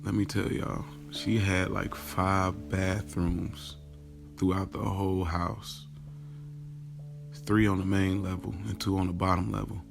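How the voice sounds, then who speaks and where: Man acting a deep, gravelly, confiding storyteller. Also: little pitch movement, unhurried, music in the background. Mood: bemused. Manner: casual, slangy, confiding, addresses listeners directly. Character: smitten, boastful, self-questioning man recounting his love life. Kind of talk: first-person audio drama monologue, conversational storytelling.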